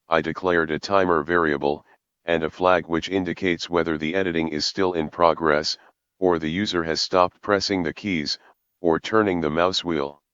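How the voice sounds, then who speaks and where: A man with a low, resonant voice narrates a tutorial in measured, formal sentences. The recording is dry and close, with a slightly dull top end. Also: fairly bright tone, clean tone, dry recording. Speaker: programming tutorial presenter.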